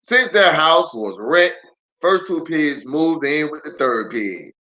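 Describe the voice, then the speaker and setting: A man who is a pastor reading a children's story aloud in an animated, theatrical way with drawn-out emphasis. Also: a little high, poor audio.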